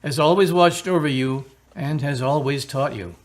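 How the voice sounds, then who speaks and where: Man playing an earnest, kindly priest, higher-pitched voice. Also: moderate pace, natural voice. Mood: earnest preaching. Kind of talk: radio drama acting.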